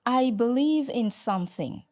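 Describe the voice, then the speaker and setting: A middle-aged Nigerian woman, Igbo her first language, a religious sister and lecturer answering interview questions in a measured, hesitant way, with many fillers and restarts. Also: fairly high pitch, expressive, mellow.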